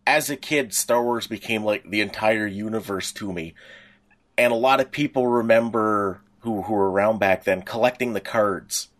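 A man's voice: lowish voice, moderate pace. A middle-aged man co-hosting a podcast, chatting casually in a talkative, rambling, anecdotal way. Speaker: podcast co-host. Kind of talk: casual reminiscing chat.

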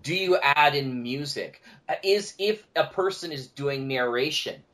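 Male podcast guest, slightly high voice, animated and conversational. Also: measured pace. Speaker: podcast guest, audio drama producer.

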